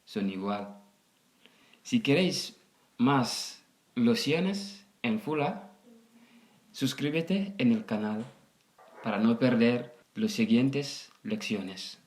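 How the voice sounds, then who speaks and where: Slow-speaking man teaching, echoey room. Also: mid-range voice, lively intonation, darkish tone. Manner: deliberate, repeats phrases. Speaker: language teacher. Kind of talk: language lesson, phrase by phrase with repetition. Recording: roomy, echoing sound.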